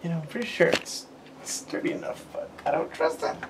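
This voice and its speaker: A man's voice: fairly high pitch, brisk. An American man in his forties narrating a casual exploring vlog, wry, muttering asides to himself. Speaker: urban explorer vlogger. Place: inside and behind a derelict abandoned house. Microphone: handheld camera mic. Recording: noisy handheld camera audio.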